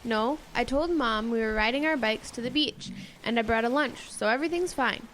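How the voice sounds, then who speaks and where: A woman's voice: on the high side, moderate pace, a bit bright, lightly acted, rough recording. A young female performer playing a sensible, questioning teenage girl who looks after her friends in a radio drama. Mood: reassuring, firm. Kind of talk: youth radio drama acting. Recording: noticeable background noise.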